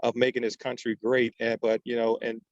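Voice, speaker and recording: A man's voice: mid-range voice, monotone, fairly quick, dry recording, muffled recording. An American man sharing his thoughts informally in a group discussion. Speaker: workshop participant.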